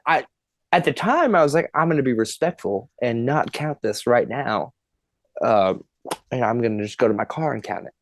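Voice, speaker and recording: A young man in his twenties tells a story on a podcast with loose, laughing energy and the odd curse word. His voice sits in an ordinary middle pitch. The recording is dry and close, with no room sound. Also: normal speed, no room sound. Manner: animated, laughing, swearing storyteller. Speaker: podcast guest, drummer. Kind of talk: casual storytelling chat.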